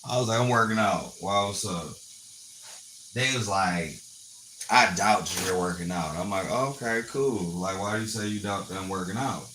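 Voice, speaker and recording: A man's voice: sits low, normal speed, low-quality recording. A man around forty on a live stream, chatting to viewers in a blunt, swearing storytelling rant from his phone. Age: 40s. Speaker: livestreamer. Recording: loud background noise with running water.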